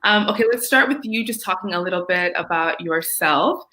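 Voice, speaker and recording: A woman's voice: lowish voice, lively intonation, fairly quick, bright, forceful tone. A young woman hosting an arts interview show, reading a friendly scripted introduction before chatting with her guest. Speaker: host.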